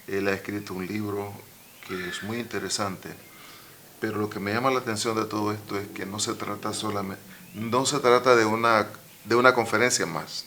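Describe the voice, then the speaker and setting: A man hosting a church radio program and introducing his guest in a studio, talking slowly with many pauses and restarts. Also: lowish voice, fairly even intonation, bright, forceful tone, a little hiss or hum. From Nicaragua. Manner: slow, halting, many fillers and restarts. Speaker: radio program host, pastor. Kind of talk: interview introduction.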